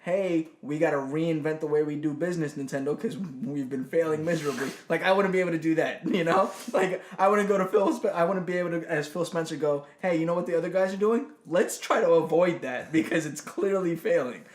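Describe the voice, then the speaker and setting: A man's voice: a little high, brisk, few pauses, reverberant. Laid-back, swearing man on a gaming podcast. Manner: laid-back, profane, laughs a lot. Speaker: podcast co-host. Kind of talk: casual gaming podcast chat.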